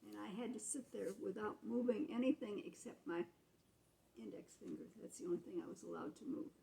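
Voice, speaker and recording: A woman's voice: medium pitch, fairly quick, gravelly, dark tone, slight background noise, rough recording. An American woman in her eighties telling childhood memories to family in a casual, explanatory, matter-of-fact way. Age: 80s. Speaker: grandmother recalling her childhood.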